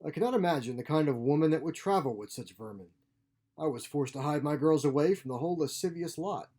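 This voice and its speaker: A man's voice: a little high, lively intonation, quick pace, dark tone. A man voicing a genial grandfatherly scientist for a story, courteous, proud and theatrical in manner. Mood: courteous indignation. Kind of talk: storybook character voice.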